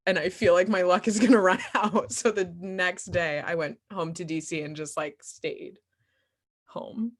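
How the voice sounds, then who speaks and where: A woman's voice: on the low side, fairly even intonation, a little slow. A young woman chatting casually and candidly as she answers questions, giggly and self-deprecating. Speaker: actor and playwright answering questions. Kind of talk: casual conversational Q&A.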